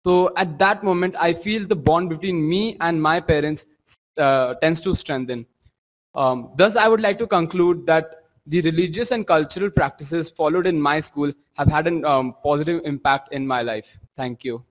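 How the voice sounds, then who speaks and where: A teenage boy giving a formal prepared speech, slightly halting with fillers. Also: on the high side, big-room sound. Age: teens. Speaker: student speaker.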